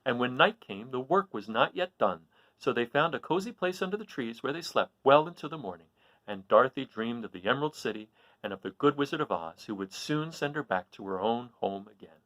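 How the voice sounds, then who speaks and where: A man reading a children's story aloud with expressive, dramatic and emphatic phrasing. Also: medium pitch, a little fast, no echo, poor audio.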